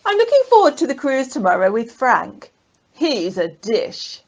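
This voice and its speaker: A flirtatious holidaymaker who loves a drink , acted by a woman. Her high voice swoops about with saucy, gushing delight, in amateur radio comedy style over a telephone conference line. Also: big pitch swings, unhurried, heavily acted. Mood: swooning. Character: flirtatious, man-hungry, drink-loving holidaymaker called Mo. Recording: dull telephone conference recording.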